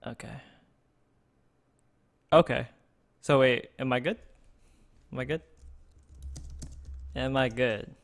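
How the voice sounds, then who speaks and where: A man's voice: medium pitch, fairly even intonation, slow pace, long pauses. A man streaming a card game for the first time, thinking aloud with many fillers and restarts, close to his desk microphone. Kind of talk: casual gameplay streaming.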